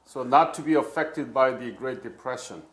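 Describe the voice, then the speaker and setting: A man's voice: mid-range voice, moderate pace. Guy asking interview questions, formal and curious, echoey room sound. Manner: formal, inquisitive, slightly broken English. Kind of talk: oral history interview. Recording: roomy echo.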